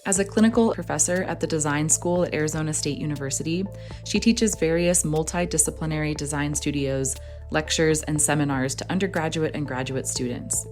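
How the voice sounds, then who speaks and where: A woman's voice: sits low, brisk, darkish tone, faint music behind. A woman hosting an interview, reading a guest introduction and then chatting cheerfully with her guest outdoors. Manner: upbeat, affirming. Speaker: host. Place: outdoors in a desert botanical garden.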